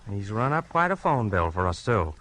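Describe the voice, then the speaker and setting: An actor playing an easygoing, amiable small-town deputy with a homespun, folksy manner, in 1940s radio crime drama style. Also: male voice, fairly low pitch, very animated, background noise. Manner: folksy drawn-out exclamations, homespun.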